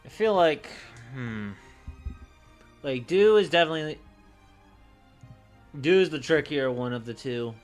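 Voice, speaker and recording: A man streaming casually, thinking aloud in a musing, hesitant way with pauses mid-thought. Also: fairly high pitch, expressive, faint music behind.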